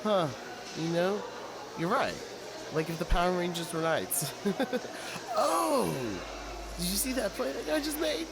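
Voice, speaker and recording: A man's voice: sits high, animated, measured pace, creaky, long pauses, exaggerated voice. A man playing an enthusiastic, caring gamer and shopkeeper in an audio drama, casual and teasing. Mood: warm enthusiasm. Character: enthusiastic, caring gamer shop owner friend. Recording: noisy background ambience.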